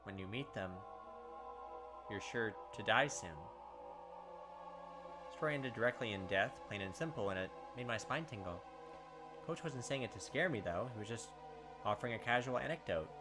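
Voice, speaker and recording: A man's voice: on the low side, expressive, moderate pace, long pauses, over music. A man reading visual novel narration aloud as a let's player, breaking off for casual asides.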